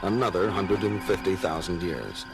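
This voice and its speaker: A man playing a calm, logical alien science officer in an audio drama, speaking gravely and precisely. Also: deep voice, moderate pace, loud music behind the voice.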